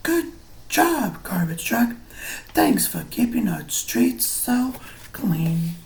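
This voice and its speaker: A man reading a picture book aloud as a teacher, explaining things simply and deliberately for young children. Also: high voice, very animated, a little mellow. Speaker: preschool or early grade teacher.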